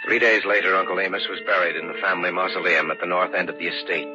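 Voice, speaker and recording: An actor playing an uneasy young nephew in an old-time radio horror drama, speaking in an earnest, dramatic way. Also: male voice, low-pitched, steady tone, fast talker, natural voice. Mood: grave. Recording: dull old radio recording, loud music.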